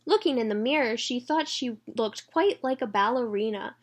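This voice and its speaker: A woman's voice: fairly high pitch, normal speed. A woman reading a children's story aloud expressively, engaging young listeners as she goes. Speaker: children's read-aloud reader.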